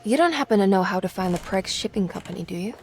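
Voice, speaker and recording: A woman's voice: mid-range voice, lively intonation, fast talker, lightly acted. An actress playing an anxious but determined young woman in a video game cutscene, earnest and questioning. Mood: puzzled, earnest. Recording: background music behind the voice.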